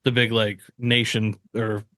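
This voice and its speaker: A man's voice: on the low side, little pitch movement, unhurried, bright, forceful tone, dry recording. An American man chatting casually on a podcast, telling stories with blunt, swearing humor. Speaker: podcast host. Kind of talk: casual chat among friends.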